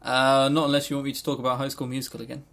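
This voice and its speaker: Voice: medium pitch, flat delivery, rapid-fire, vocal fry, fairly bright tone. Podcast co-host for loose banter: sarcastic, jokey, happy to ramble off on tangents. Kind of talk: casual, tangent-prone banter about visual novels.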